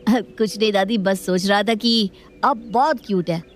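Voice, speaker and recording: A woman voicing a sly, wheedling schoolboy in an animated moral story. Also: mid-range voice, a little slow, lightly acted. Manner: pleading, sly. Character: cheeky, wheedling schoolboy outwitting his elders.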